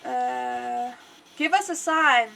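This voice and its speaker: Congested high-voiced woman streamer. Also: very slow, creaky, pushed and bright. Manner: chatty and rambling, talks to the game aloud. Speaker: livestreamer. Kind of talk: casual gameplay commentary.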